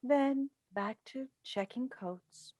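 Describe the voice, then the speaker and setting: A woman acting a smooth, probing television talk show host with pointed questions, in a staged play reading on a video call. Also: high voice, takes it slowly, a little mellow.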